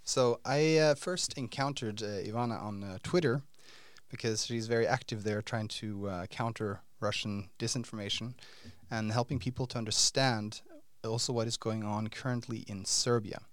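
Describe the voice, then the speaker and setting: A man's voice: lowish voice, steady tone, no echo. A middle-aged man hosting an interview podcast, asking long questions with frequent fillers and restarts. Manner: halting, many fillers and restarts. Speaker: podcast host.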